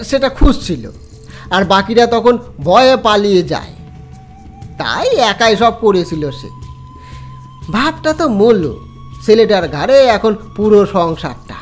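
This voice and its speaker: Guy doing a dismissive grumbling village man, drags his words out, horror audio story. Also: high-pitched. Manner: halting, drawn-out grumbling.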